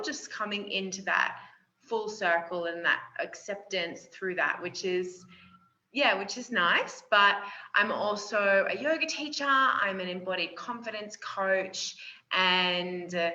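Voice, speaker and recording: Bright woman in her twenties. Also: medium pitch. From Australia. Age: 20s. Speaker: guest, yoga teacher and confidence coach. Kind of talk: interview conversation. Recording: roomy, dull sound.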